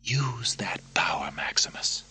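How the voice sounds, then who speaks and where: A man voicing a frightened noblewoman who pleads softly and often whispers, with a breathy voice. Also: medium pitch, expressive, measured pace, no room sound, faint music behind, voice pushed up. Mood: pleading. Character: grieving noblewoman, afraid for her son.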